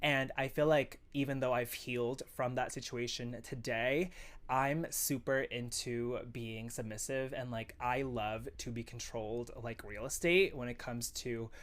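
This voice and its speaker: A man's voice: medium pitch, steady tone, unhurried, fairly bright tone. Chatty young-sounding man from Indonesia. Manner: chatty, heavy use of "like". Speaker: social media creator. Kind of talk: casual talking-to-camera clips.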